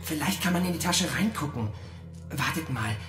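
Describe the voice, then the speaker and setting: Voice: mid-range voice, expressive, normal speed, a bit bright, reverberant, soft background music, close to an everyday voice. A performer playing a nervous, skeptical boy who grumbles and protests in a children's audio drama. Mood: alarmed, grumbling.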